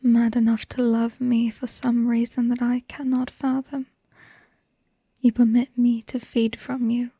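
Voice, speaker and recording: Woman voicing a loving vampire wife, melancholy, intimate ASMR roleplay, breathy hush, even pitch, close dry sound. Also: dry recording. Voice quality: breathy, hushed whisper. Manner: slow, tender, halting delivery with lingering pauses. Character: devoted, melancholy female vampire wife, tender and haunted.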